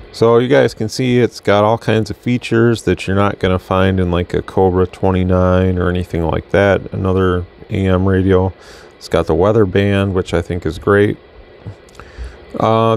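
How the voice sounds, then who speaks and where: A man's voice: on the low side, gravelly, a little mellow. An American man giving a casual product demo as a reviewer, talking in a rambling, conversational way. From Michigan, United States. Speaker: radio reviewer.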